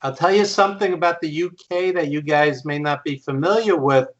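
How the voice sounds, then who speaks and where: A man's voice: fairly high pitch, brisk. A man co-hosting a podcast, casually telling anecdotes in conversation. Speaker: podcast co-host. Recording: dull, lo-fi call audio.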